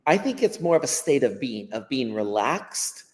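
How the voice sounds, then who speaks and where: A man's voice: medium pitch, very animated, moderate pace. A man with a Western American accent, a guest teacher explaining his ideas unscripted over a video call, thoughtful and often repeating words as he gathers his thoughts. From United States. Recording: long hall-like reverb.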